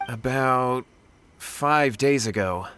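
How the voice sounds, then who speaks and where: A man's voice: mid-range voice, takes it slowly, sharp tone, slight background noise. An anxious pleading young man. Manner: earnest, pleading, worried. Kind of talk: video game voice acting. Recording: game audio with faint music.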